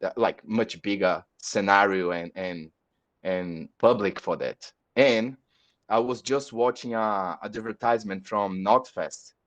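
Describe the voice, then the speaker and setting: Brazilian-accented man, halting podcast talk. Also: on the low side. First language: Portuguese. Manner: halting, repeats words while searching for them. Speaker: metal band musician. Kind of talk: casual podcast chat. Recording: dull lo-fi audio.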